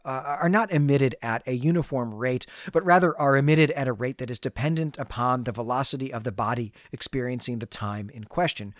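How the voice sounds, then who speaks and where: A man's voice: medium pitch, no room sound, studio quality. A man co-hosting a podcast, recapping and discussing a story in a thoughtful, analytical, conversational way. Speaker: podcast co-host.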